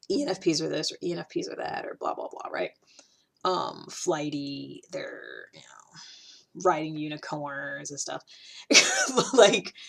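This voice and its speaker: A woman talking casually to a camera, animated and chatty, with many fillers and restarts. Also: deep voice, gravelly. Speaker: video host. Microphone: camera, solo recording.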